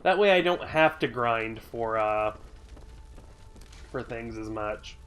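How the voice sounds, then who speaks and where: A man's voice: mid-range voice, lively intonation, slow, a bit bright, a little hiss or hum. A man live-streaming a video game, stuffy from a cold, chatting casually with viewers in a rambling, grumbly way and swearing now and then. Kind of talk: casual live-stream chat while playing.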